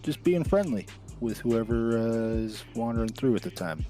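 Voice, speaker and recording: A man playing a laid-back cannabis dealer in an improvised game roleplay, chatting in a halting, slangy way. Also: mid-range voice, expressive, mellow, faint music behind, lightly acted. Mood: easygoing. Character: laid-back male cannabis shop businessman in crime roleplay. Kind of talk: improvised roleplay, casual chat.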